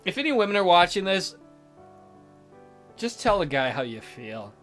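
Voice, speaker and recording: A man with a bright voice and lively, varied pitch reads visual novel narration aloud. He is a let's play host who drops in casual remarks, with soft music playing behind him. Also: moderate pace.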